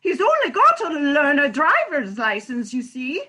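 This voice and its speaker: A woman's voice: high-pitched, huge pitch swings, measured pace, sharp tone, heavily acted. A woman playing an earnest, distressed unmarried mother, a nurse pleading her case in a comic stage farce reading. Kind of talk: comic farce readers theatre.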